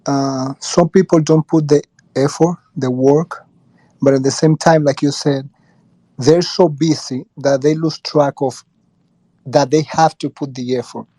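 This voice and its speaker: A man explaining his view earnestly and haltingly in a casual group discussion. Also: on the high side, steady tone, dark tone, dry recording, rough recording. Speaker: discussion participant, former teacher. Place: live online audio room, dry sound.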